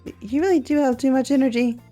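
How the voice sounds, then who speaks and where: Woman voicing a timid schoolboy game character, mellow, tentative, flustered, faint music behind. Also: high voice, fast talker, voice pushed up, lightly acted. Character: shy, flustered, hesitant young schoolboy.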